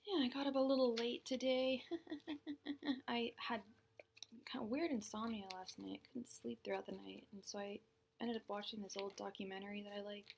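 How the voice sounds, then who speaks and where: Chatty young woman with a gravelly edge. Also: a little high, fairly even intonation, a little hiss or hum. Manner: chatty, greets viewers by name, laughs often; says she talks fast. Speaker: tarot reader hosting a livestream. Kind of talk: casual livestream chat.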